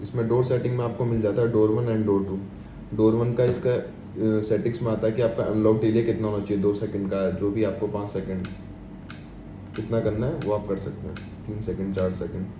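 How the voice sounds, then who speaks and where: Low-voiced Indian man in an echoey room. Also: steady tone, normal speed. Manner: explanatory, repetitive, mixes English terms into speech. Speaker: product demonstrator. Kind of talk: informal step-by-step tutorial. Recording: echoey room with clear background noise.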